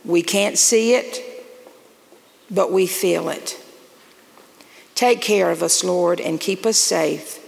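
A woman giving a gentle, coaxing children's message and prayer in church. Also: lowish voice, slow pace, creaky, long pauses. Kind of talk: children's sermon. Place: church sanctuary. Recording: reverberant church sanctuary with faint noise.

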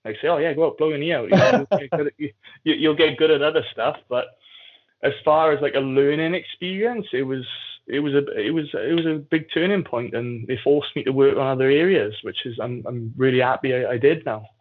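A man from the South Wales Valleys tells stories in a candid, informal way, as a guest in a relaxed conversation. The audio is narrow and phone-like, as if over a call. His pitch is average for a man. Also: fairly quick, slightly rough. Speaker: podcast guest, jiu-jitsu athlete. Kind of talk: casual conversational storytelling.

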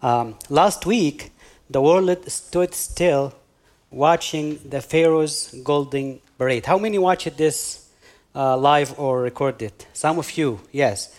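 A man with an Egyptian accent, a native Arabic speaker, preaching a sermon as a guest pastor, halting now and then as he hunts for words. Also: on the high side, darkish tone. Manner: halting, hunting for words with many pauses.

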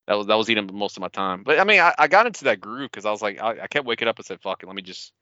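Bright, clear-voiced man, casually swearing. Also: on the low side, lively intonation, rapid-fire, dry recording, highs cut off. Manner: profane, rambling, self-mocking storytelling. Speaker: podcast co-host. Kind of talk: casual gaming podcast chat.

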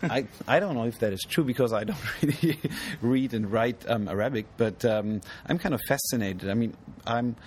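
A man with a mid-pitched voice talks about his art in an interview. He thinks aloud, with many pauses and ums. Street and vehicle noise sit under the recording. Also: a little mellow. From Germany. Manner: thoughtful, hesitant, many ums. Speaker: artist, interview guest. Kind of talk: casual interview. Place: outdoors at an art fair. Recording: noisy outdoor recording.

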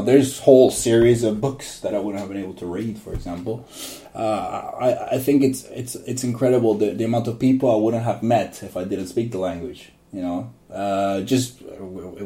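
A man from Uruguay, a native Spanish speaker, answering interview questions in a relaxed, rambling way with many restarts. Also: fairly low pitch, roomy sound. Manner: rambling, frequent restarts and fillers. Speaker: guest.

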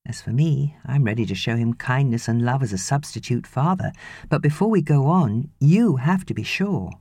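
A woman's voice: very deep voice, animated, moderate pace, mellow, breathy edge, no room sound, studio quality, exaggerated voice. A woman voicing an earnest, loving husband and father in an audiobook, pleading and persuading emphatically. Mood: earnest, tender.

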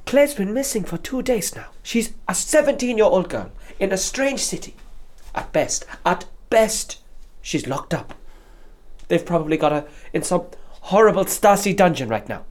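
An actor playing an anxious, suspicious young man in a radio drama, intense and prone to breathy half-whispers. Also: high-pitched, animated, normal speed. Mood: frantic. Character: intense young male activist, guilt-ridden, suspicious, anxious boyfriend.

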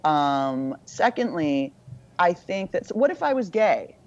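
A woman with a low voice, a podcast guest talking in a frank, irreverent, self-mocking way. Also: sing-song, unhurried, a little mellow. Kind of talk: candid casual conversation. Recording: dull lo-fi sound.

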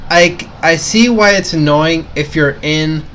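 A man's voice: fairly high pitch, unhurried, fairly bright tone, slight background noise. A young man co-hosting a casual chat, rambling with plenty of fillers and restarts. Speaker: co-host.

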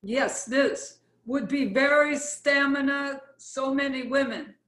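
A woman's voice: high voice, fairly even intonation, slow, fairly bright tone. A woman playing a brazen foreign artists' model with a Russian accent, speaking blunt, clipped broken English in a play reading. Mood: blunt, confident. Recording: roomy, echoey sound.

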